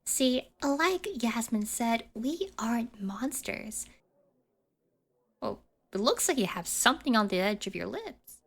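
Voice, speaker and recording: A woman's voice: a little high, very animated, slow pace, heavily acted. A woman playing a smug school bully's sidekick in an ASMR roleplay, teasing and belittling in a mock-sweet way.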